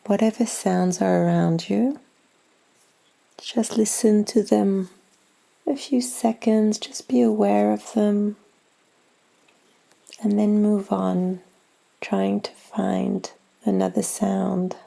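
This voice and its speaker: A woman leading a guided yoga nidra meditation in a soft, gentle, unhurried way, with long pauses and phrases that trail toward breathiness. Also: mid-range voice, fairly even intonation, creaky.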